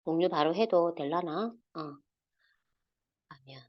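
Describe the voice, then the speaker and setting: A woman in her forties with a Gyeongsang accent, giving a halting, filler-heavy guest talk over a video call. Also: highs cut off. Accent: Gyeongsang Korean. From South Korea, Gyeongsang region. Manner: halting, many fillers, lively pitch swings. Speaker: guest speaker.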